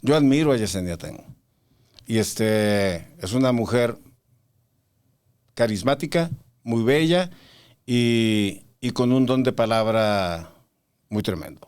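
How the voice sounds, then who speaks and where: A man's voice: lowish voice, expressive, slow pace, long pauses, a little mellow, clean tone. A man hosting a faith podcast in casual conversation, probing his guest and cutting in to push back. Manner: probing, interrupts to challenge. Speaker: podcast host.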